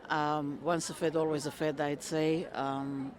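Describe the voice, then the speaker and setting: A woman in her fifties, originally from Croatia, answering interview questions in a measured way with frequent ums and uhs. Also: deep voice, level tone, a little mellow. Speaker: interviewee, retired federal worker. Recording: crowd chatter behind. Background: crowd chatter.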